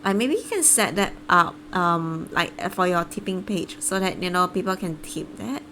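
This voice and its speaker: A woman live-streaming a game, chatting casually and haltingly as she thinks aloud. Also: lowish voice, animated, background noise.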